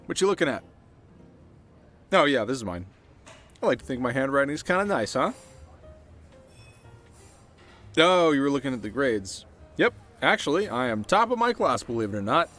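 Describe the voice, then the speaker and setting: A cocky teenage bad boy acted by a man speaks over background music in an ASMR roleplay. His voice sits at a middling male pitch, and he talks in loose street slang, teasing on the surface and soft-hearted underneath. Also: big pitch swings, brisk. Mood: friendly, proud. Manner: slangy, casual, stumbling when flustered. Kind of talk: ASMR roleplay acting, addressing the listener.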